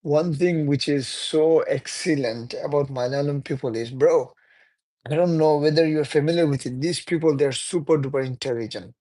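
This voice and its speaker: Chatty man with a higher voice. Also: moderate pace, warm tone. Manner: chatty, laughs often, non-native phrasing. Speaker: livestreamer. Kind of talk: casual live-stream chat reading viewer comments.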